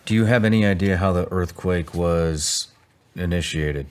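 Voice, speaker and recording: Male podcast host, notably low pitch, conversational, putting brief and direct probing questions to a guest. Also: moderate pace, slight background noise. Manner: plain, probing short questions.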